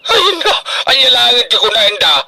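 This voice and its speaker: An actor playing a harsh, hot-tempered middle-aged father in a radio drama, loud, emphatic and wailing. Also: extremely high pitch, big pitch swings, brisk, vocal fry, a loud crowd, heavily acted. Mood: angry, scolding. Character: harsh, hot-tempered father scolding his grown daughter.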